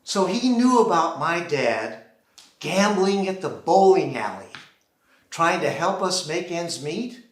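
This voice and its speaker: An American man performing a theatrical solo monologue, deliberately acting out one side of a phone call on a theater stage. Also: sits high, very animated. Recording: roomy, echoing live theater sound.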